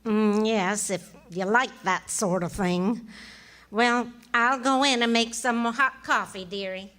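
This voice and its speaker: A woman's voice: mid-range voice, takes it slowly, fairly bright tone. A woman playing a patient, wry, dryly unimpressed wife in a 1940s radio comedy.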